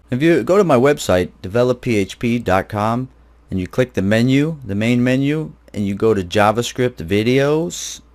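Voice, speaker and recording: A man with a fairly low voice works through a screencast tutorial as the instructor. He explains things step by step in an informal, conversational manner, and his phrasing is blunt and plain. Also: darkish tone.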